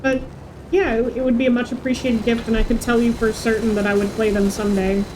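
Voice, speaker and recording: Female streamer, higher register, casual host chat during gameplay, slight noise behind. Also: flat delivery, quick pace.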